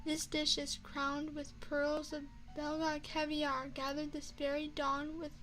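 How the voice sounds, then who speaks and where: A woman plays an elegant vampire restaurateur in an intimate ASMR roleplay. Her voice is high, with formal, measured phrasing and courteous, seductive hospitality. Faint music sits behind her. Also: completely flat delivery, a bit bright, poor audio. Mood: savoring, refined. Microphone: binaural microphone.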